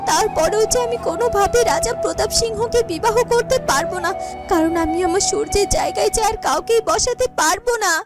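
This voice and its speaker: Woman playing a high-voiced sorrowful young heroine. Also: brisk. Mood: anguished. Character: sorrowful young heroine, earnest, troubled, devoted wife. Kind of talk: melodramatic children's cartoon dialogue. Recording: music under the voice.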